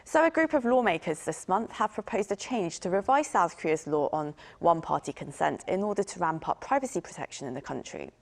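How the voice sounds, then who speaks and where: Low-pitched woman hosting a news discussion. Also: expressive, fairly quick, gravelly, few pauses. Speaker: news programme host. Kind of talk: broadcast news interview with scripted questions.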